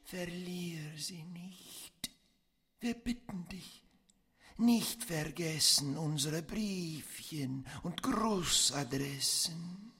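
A bossy, crude character in a radio play, with a fairly high male voice. He declaims slowly and theatrically, like an officiant at a mock-solemn rite. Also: lively intonation, pushed and bright, full character voice. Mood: solemn, imploring. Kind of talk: German radio play acting.

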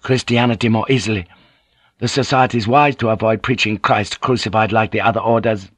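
A man's voice: fairly low pitch, steady tone, a little fast, fairly bright tone, dry recording. Proud, defiant young brother voiced by a man. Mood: proud, insistent. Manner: polite but bluntly insistent, impassioned. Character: proud, defiant young Japanese Jesuit brother of samurai birth. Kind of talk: dramatic audiobook character acting.

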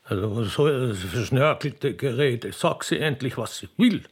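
A man's voice: mid-range voice, lively intonation, a little slow, husky. A man playing a vain, dim-witted court official in a comic radio play, haughty and stammering, with an Austrian accent. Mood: flustered.

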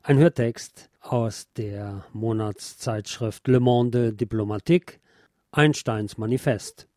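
A man's voice: medium pitch, takes it slowly, a little mellow, no room sound, clean studio sound. A man reading a scripted introduction as an announcer for a series.

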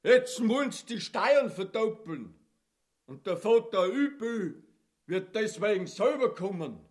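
A man with an Austrian-Bavarian accent playing an excitable villager bearing dire news in a radio play. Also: high voice, measured pace. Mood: alarmed gossip.

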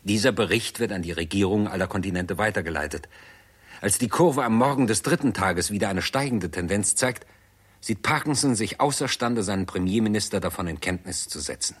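Narrator, lowish husky voice, sober, documentary tone, scripted 1960s radio drama. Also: male voice, brisk. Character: sober, documentary-like male narrator of a science fiction tale.

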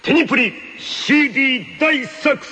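A man's voice: extremely high pitch, measured pace. A man co-hosting a radio show in casual chat.

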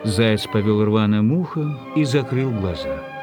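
A man narrating a literary story from a script in a measured, expressive way. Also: on the low side, over music.

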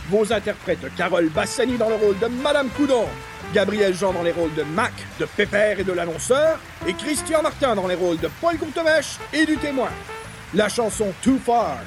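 Man as a radio announcer, high male voice, lively delivery, formal, scripted, music behind.

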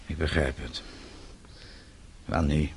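An actor in a radio play, playing a principled, wounded elderly father who speaks gravely and haltingly. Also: a bass voice, fairly even intonation, darkish tone, exaggerated voice. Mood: hurt, reproachful. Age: older. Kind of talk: radio drama acting. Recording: radio play with faint background noise.

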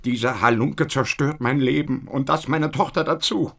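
An actor playing an excitable, indignant father with a husky voice, swinging between outbursts and mutters. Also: medium pitch, normal speed. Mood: aggrieved. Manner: agitated, swinging from shouts to mutters. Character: excitable middle-aged father, indignant and frantic.

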